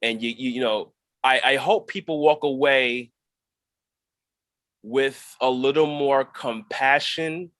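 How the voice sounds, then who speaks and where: A man who acts on stage, answering reflectively in an interview, measured and thoughtful, pausing mid-phrase. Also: mid-range voice, fairly bright tone, no echo, studio quality. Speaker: stage actor.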